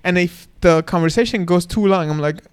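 A man's voice: high voice, brisk, clear, resonant tone. A man from Iran chatting casually as a comedian and podcast co-host, telling self-deprecating anecdotes. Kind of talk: casual chat with comic anecdotes. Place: comedy club.

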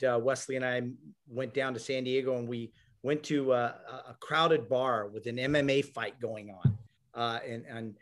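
A man hosting an interview, speaking in an admiring, affirming way to his guest. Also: mid-range voice. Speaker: interview host. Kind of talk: conversational interview.

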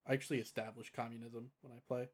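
A man's voice: medium pitch, flat delivery, fast. A man co-hosting a podcast in casual, joking, slangy chat. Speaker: podcast co-host.

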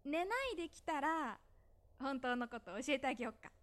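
Woman playing high-voiced bossy schoolgirl, exasperated. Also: a little fast, fairly bright tone. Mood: teasing. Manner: scolding, emphatic, teasing. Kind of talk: Japanese voice drama phone-call dialogue.

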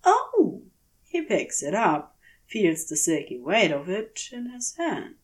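Slow, very expressive woman storyteller. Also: on the low side. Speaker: audiobook-style fan fiction reader. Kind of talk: expressive scripted story narration.

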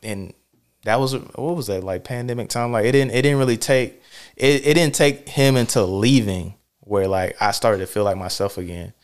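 A man's voice: medium pitch, moderate pace, clear, resonant tone. A man hosting a podcast, talking in a loose, rambling way full of filler words and restarts. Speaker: podcast host. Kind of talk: casual podcast conversation.

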